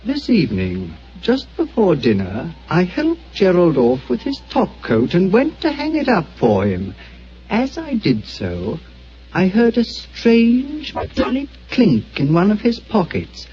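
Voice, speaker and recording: A man's voice: high voice, huge pitch swings, a little slow, mellow, heavily acted. An actor playing an anxious, businesslike male client with an urgent, worried manner in an old radio drama. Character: anxious, brusque male insurance broker client. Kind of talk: 1940s radio acting. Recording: dull old radio broadcast with faint hiss.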